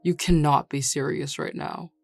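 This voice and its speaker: A woman's voice: low-pitched, fairly even intonation, normal speed, gravelly, darkish tone, no echo, soft background music. A woman playing a grumpy, defensive tsundere male bar worker, curt and irritated, in an audio roleplay.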